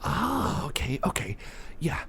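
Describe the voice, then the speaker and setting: Male actor as awkward smitten oddball, gravelly creak, animated swooping pitch, rambling, stammering repeats, whispery ASMR roleplay. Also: slow, a little hiss or hum, heavily acted. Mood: eager, gushing. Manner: scatterbrained, rambling, repeats phrases, hesitant stammering. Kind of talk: ASMR roleplay, softly acted, breaking into whispers.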